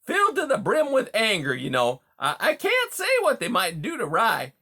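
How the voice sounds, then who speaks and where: A narrator voicing a huge male beaver in a storybook, a brash, folksy, smooth-talking bully who talks over others. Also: medium pitch, huge pitch swings, normal speed, sharp tone, pitched above the performer's own voice, heavily acted. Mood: falsely polite menace. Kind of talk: audiobook character dialogue.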